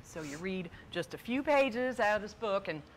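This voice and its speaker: An actress playing a crabby, gruff older sister in a stage play, a self-styled evil old crone. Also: female voice, mid-range voice, expressive, normal speed, slight background noise, lightly acted. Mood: irritated.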